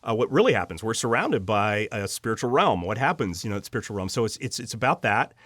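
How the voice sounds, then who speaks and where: A man's voice: lowish voice, big pitch swings, fast talker, a bit bright, dry recording. A man speaking as an interview guest, sharing personal testimony casually and earnestly, with emphatic repetition and frequent restarted sentences.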